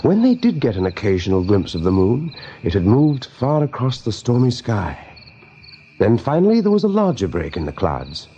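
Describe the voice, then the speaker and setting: A man's voice: mid-range voice, wildly swooping pitch, moderate pace, dark tone. Male radio drama storyteller, slightly muffled. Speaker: narrator. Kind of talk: scripted radio drama narration. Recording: dull, faintly noisy old radio tape.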